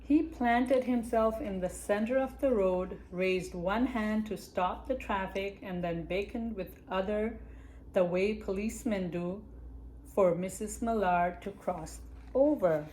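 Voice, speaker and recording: A woman reads a children's picture book aloud in an unhurried, storytime manner, pausing now and then to address young listeners. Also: medium pitch, a little mellow, slight background noise, poor audio. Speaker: library storytime reader.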